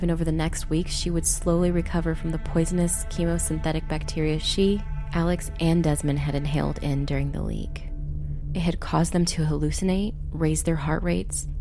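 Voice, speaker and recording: A woman's voice: deep voice, vocal fry. A woman giving scripted narration for a horror story.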